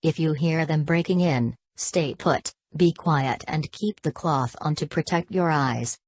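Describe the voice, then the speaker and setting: Low female alert announcer woman acting it, formal n stilted, synthetic sounding, very dry sound. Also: unhurried, a little mellow, no room sound. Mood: formal, grave. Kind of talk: scripted emergency broadcast narration.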